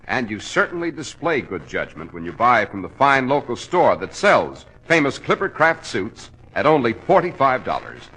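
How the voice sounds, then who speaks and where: A man's voice: mid-range voice, sharp tone, husky, low-quality recording. An American man announcing in emphatic, salesmanlike 1940s radio advertising style. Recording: vintage radio broadcast.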